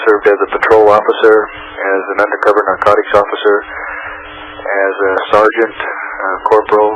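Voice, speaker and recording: A man in his forties from Arizona, a county sheriff with a hoarse voice from a cold, talking haltingly with many fillers as a guest. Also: fairly low pitch, muffled recording, noisy background. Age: 40s. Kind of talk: phone-in interview.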